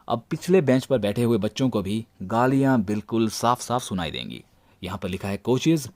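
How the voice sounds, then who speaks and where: An Indian man narrating a gadget review as its presenter, with a deadpan, tongue-in-cheek delivery. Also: mid-range voice, no room sound.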